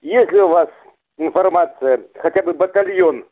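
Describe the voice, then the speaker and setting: A man's voice: high-pitched, normal speed. A man phoning a radio show and putting a question in formal, military-style address. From Horlivka, Donbas. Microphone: telephone. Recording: narrow, tinny telephone line.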